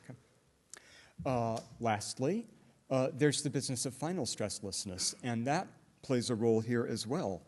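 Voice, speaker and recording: A middle-aged man lecturing at a conference, explaining step by step and often pausing on "uh" fillers and restarts. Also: medium pitch, a little mellow. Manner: hesitant, many "uh" fillers and restarts; explanatory, walks through examples step by step. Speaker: linguistics lecturer. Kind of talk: academic conference talk.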